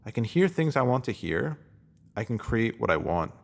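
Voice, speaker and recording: A man reading a spiritual essay aloud in a calm, measured, reflective way. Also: medium pitch, vocal fry. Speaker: reader.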